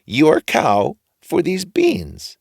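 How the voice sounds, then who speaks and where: A man voicing a funny old trader in a storybook, sly and friendly, with a drawn-out coaxing delivery. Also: on the high side, huge pitch swings, creaky, darkish tone, breathy edge, dry recording, studio quality, pitched above the performer's own voice, heavily acted. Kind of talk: storybook character reading.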